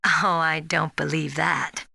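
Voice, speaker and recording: An actress playing a spirited, earnest young rookie soldier in a video game, speaking in a frank, expressive way. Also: female voice, sits low, slow, gravelly, no echo, full character voice. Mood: playful, curious. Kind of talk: dramatic video game voice acting.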